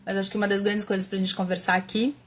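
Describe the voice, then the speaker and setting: A woman's voice: mid-range voice, flat delivery, fast talker. A woman with a Brazilian Portuguese accent hosting a live stream, thinking aloud in a casual, reflective way with many fillers and restarts. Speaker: host of a live stream on writing. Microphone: webcam or computer mic, live stream.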